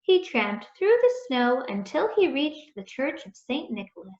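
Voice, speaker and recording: A woman reading a children's story aloud in a deliberate, expressive storytelling manner. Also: high-pitched. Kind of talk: read-aloud storytelling, scripted narration. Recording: echoey room, dull muffled sound.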